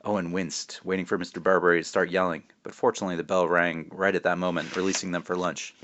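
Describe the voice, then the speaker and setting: A man's voice: low-pitched, level tone, quick pace, a bit bright, muffled recording, a little hiss or hum. A man speaking as a children's author, informally reading a storybook aloud with modest asides. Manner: self-deprecating, informal.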